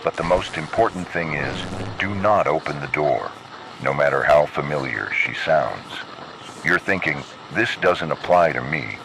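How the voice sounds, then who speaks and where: A man playing an ominous announcer of horror warning broadcasts, speaking gravely and in a measured, instructing way. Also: very deep voice, lively intonation, noisy background, exaggerated voice. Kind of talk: scripted horror public-announcement narration.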